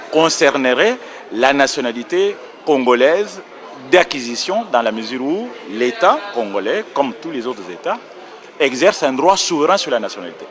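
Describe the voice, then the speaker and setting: A man speaking as a panelist, laying out a legal argument with care and precision. His pitch rises and falls a lot as he leans on key words. He sits at a middle height, neither deep nor high. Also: a bit bright. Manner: precise, lecturing, corrects himself mid-sentence. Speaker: round table panelist on nationality law. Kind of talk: explanatory legal commentary.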